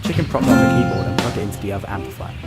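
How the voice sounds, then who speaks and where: A man's voice: lowish voice, rapid-fire, loud music behind the voice, close to an everyday voice. A man narrating a scripted animal tale as a storyteller. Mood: measured storytelling.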